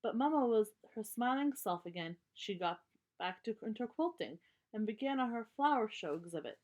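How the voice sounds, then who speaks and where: A woman's voice: fairly high pitch, expressive, moderate pace, a little mellow, dull audio. A woman reading a children's storybook aloud, sometimes stumbling over words and repeating them. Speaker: storybook reader.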